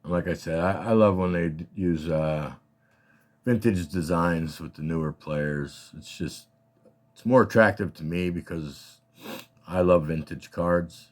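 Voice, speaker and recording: A man with a slightly scratchy voice, casually and haltingly talking through his collection as a hobbyist host. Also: deep voice. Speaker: collector hosting a card showcase. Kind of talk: casual rambling show-and-tell talk.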